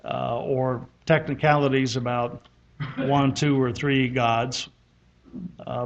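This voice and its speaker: A man opening a church conference and introducing the speaker with an anecdote, talking with many hesitations. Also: medium pitch. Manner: warm anecdotal, frequent hesitations. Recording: slightly dull lo-fi recording.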